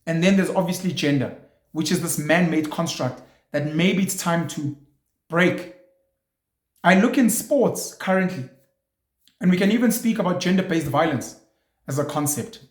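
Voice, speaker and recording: Male host, slightly high voice, provocative and emphatic monologue, echoey room. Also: lively intonation, normal speed, pauses often. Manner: provocative, rhetorical questions, emphatic. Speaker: show host. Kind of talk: opinionated monologue.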